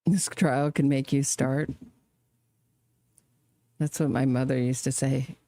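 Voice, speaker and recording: A woman hosting casual, chatty true crime commentary on a trial, sardonic and quick to laugh at her own asides. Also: extremely low pitch, fairly even intonation, warm tone.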